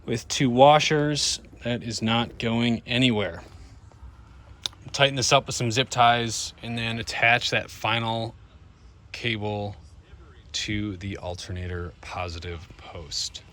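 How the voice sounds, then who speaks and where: A man's voice: medium pitch, sharp tone. A man giving a casual, informal hands-on narration to viewers, thinking aloud as he works on a vehicle. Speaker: DIY car vlogger and host. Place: in and around a van.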